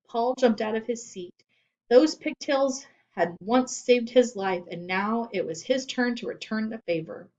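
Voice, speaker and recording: A woman reading children's fiction aloud as expressive scripted narration. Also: mid-range voice, highs cut off. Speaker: storybook reader.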